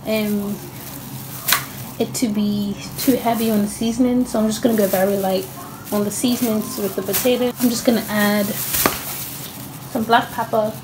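Woman, slightly high voice, hesitant home cook, casual narration, kitchen clatter and sizzling behind. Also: background noise. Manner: hesitant, lots of ums and restarts. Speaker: cooking vlogger.

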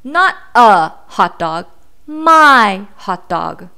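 A woman's voice: mid-range voice, sing-song, very slow, vocal fry, bright, forceful tone, clean tone, voice pushed down, exaggerated voice. A woman voicing a possessive, easily exasperated pigeon in a children's storybook, theatrical and emphatic. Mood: gleeful, possessive. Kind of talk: children's storybook read-aloud.

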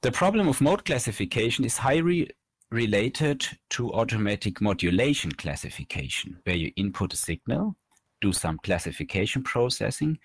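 A man's voice: fairly low pitch, slightly rough, dry recording. A man in his thirties giving a technical slide lecture, explaining things step by step on a headset mic. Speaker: researcher giving a conference talk. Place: indoor room, recorded over webcam.

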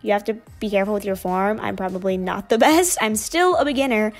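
A woman's voice: medium pitch, fairly quick, faint music behind. Chatty young woman narrating a workout. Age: young adult. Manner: casual, chatty, upbeat explaining. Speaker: fitness vlogger.

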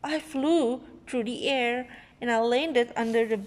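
Slow-reading woman in an echoey room. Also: on the high side, darkish tone. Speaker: read-aloud storyteller. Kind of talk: expressive picture-book read-aloud to a child. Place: indoor room with wooden floor. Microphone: handheld phone near the book. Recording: echoey room, handheld phone recording.

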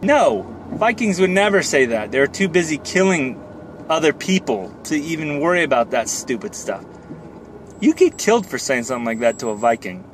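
A man's voice: a little high, sing-song, normal speed. A man in his thirties rambling casually to camera while driving, with a joking, self-deprecating manner. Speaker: vlogger. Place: inside a moving car. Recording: car cabin with road and fan noise. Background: car and air noise.

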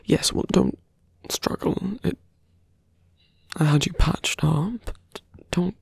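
Suave villain mage for fantasy ASMR roleplay, played by a man: very creaky, breathy, slow and deliberate, mocking with calm menace. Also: fairly high pitch, dry recording, studio quality, full character voice. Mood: mock concern. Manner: hushed, deliberate, condescending, often dropping to a whisper.

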